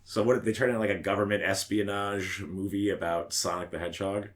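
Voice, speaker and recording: Low-pitched, sweary podcast guy. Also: steady tone, a little fast. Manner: profane, sardonic. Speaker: podcast co-host. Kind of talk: casual chat.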